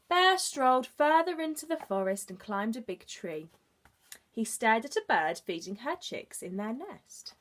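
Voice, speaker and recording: A woman reads to small children as an early years teacher. Her delivery is slow and very melodic, swinging up and down. She adds simple explanations along the way. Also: a little high. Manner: expressive, explaining for young children. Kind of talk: children's storybook narration with asides to listeners.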